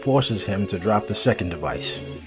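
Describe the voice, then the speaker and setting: A man's voice: fairly low pitch, animated, measured pace. A man giving dramatic scripted narration for a comic book story. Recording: music behind the voice.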